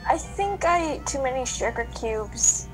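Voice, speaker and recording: A woman's voice: sits high, animated, a little slow, music in the background, rough recording. A woman playing a nervous, chatty little cookie girl in a children's radio play. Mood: sheepish.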